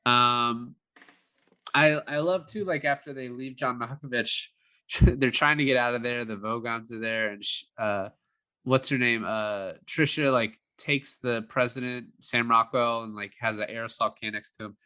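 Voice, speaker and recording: A man's voice: mid-range voice, fairly even intonation, normal speed, pushed and bright, clean tone. A man co-hosting a casual film review podcast, chatting in a jokey way. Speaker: podcast co-host.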